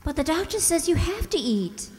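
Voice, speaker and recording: A concerned, placating older sister in an old-time radio mystery, acted by a woman on stage. Her voice is high and very expressive, coaxing as she goes. It rings in an echoey hall. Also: normal speed. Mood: soothing, concerned. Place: stage in a community hall, stand microphone.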